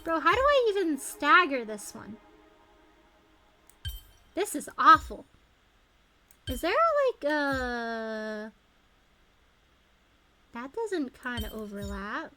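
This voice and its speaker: Lively high-pitched woman streaming a game. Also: slow pace, pauses often, faint music behind. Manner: expressive, exclamatory, thinks aloud, chatty. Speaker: video game livestreamer. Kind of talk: casual gaming stream chat.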